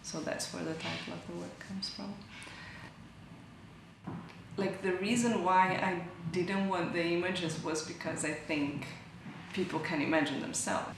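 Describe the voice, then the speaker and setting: A woman artist from Brazil talks through her work, pausing to find the right words and correcting herself as she goes. Her voice is low with a gravelly edge. It echoes through a large hall. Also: steady tone, noisy background. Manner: thoughtful, searching for words, self-correcting. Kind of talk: interview about her artwork. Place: large gallery hall indoors.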